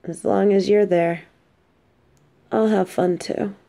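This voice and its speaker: A woman's voice: mid-range voice, steady tone, slow pace, creaky, warm tone. A woman playing an affectionate, teasing supervillain girlfriend in an intimate roleplay audio drama, speaking tenderly and playfully. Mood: tender, content.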